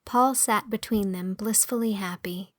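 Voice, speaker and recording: A woman's voice: mid-range voice, measured pace, no echo, clean studio sound. A woman reading a classic novel as scripted storybook narration. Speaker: audiobook narrator. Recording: music under the voice. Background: music.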